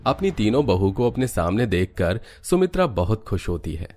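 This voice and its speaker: A man's voice: fairly low pitch, sing-song, moderate pace, a little mellow. A man narrating a scripted cartoon family story as its storyteller.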